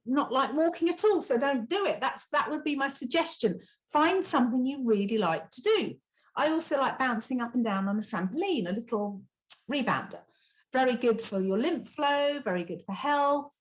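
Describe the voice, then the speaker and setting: Middle-aged woman, slightly high pitch, easygoing instructor demonstrating exercises on camera. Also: poor audio. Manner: chatty, encouraging, many fillers like "you know". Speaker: kinesiology teacher.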